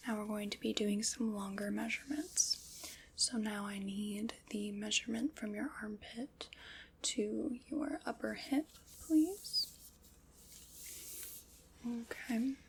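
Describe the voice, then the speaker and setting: Woman whispering as a calm skeleton armorer. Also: mid-range voice, slow, vocal fry, a little hiss or hum. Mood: gentle instructive. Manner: soft-spoken, polite step-by-step instructions. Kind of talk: whispered ASMR roleplay.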